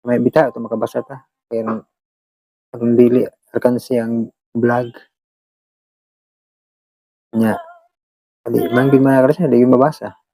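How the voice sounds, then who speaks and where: A Filipino vlog host with a Visayan accent chatting casually to listeners, rambling in a halting, repetitive and apologetic way. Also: mid-range voice, level tone, warm tone, dry recording, poor audio. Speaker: vlog host who shares radio dramas.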